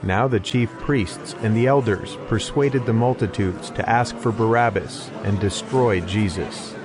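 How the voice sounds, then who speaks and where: A man's voice: lowish voice, unhurried. A man giving a scripted narration of an audio Bible. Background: music behind the voice.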